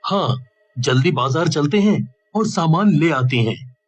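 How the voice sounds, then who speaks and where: A man voicing a worried, earnest young village man in an animated cartoon story. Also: a little high, unhurried, highs cut off, faint music behind. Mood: worried, planning.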